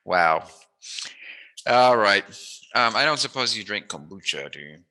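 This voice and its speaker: A man's voice: lowish voice, expressive, unhurried, pushed and bright, low-quality recording. A man hosting an interview in a casual, joking way, with a playful, rambling, musing manner. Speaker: interview host.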